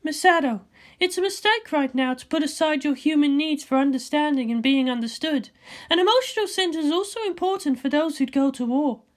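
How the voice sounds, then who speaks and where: A man voicing a cool, sensible woman scientist with a measured, dryly chiding manner in a fan fiction reading. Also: very high-pitched, pitched above the performer's own voice. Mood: earnest, advising.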